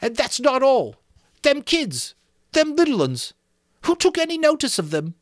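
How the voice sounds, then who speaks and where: A shrill, high-pitched schoolboy outcast in an audiobook. He whines and protests indignantly, scolding the others, his pitch leaping as panic creeps in. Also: huge pitch swings, unhurried, dry recording, clean studio sound, full character voice. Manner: shrill, whining, protesting, gasping delivery. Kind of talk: dramatic audiobook reading of a novel.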